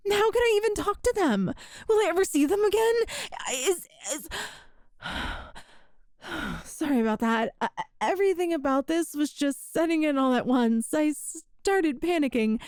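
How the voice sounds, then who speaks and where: A woman's voice: sits high, lively intonation, unhurried, darkish tone, no room sound, clean studio sound. A woman playing a flustered, apologetic, grateful time traveler from the future in a one-sided ASMR roleplay, hesitant and earnest as she talks to the listener. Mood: panicking, then apologetic, self-blaming. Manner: hesitant, earnest, self-deprecating.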